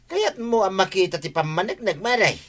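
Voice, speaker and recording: A man's voice: high voice, big pitch swings, moderate pace, a bit bright. A man playing a teasing, wheedling villager in a comedy drama, speaking with an Ilocano accent.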